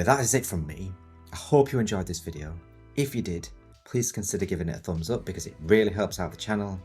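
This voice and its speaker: A deep-voiced man in his thirties, husky and croaky with a cold, rambles through a tutorial in his home studio. He jokes and mocks himself as he goes, and soft music plays faintly behind him. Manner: rambling, joking, self-mocking. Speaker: video tutorial host. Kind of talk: casual chatty tutorial. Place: home studio set in a new house.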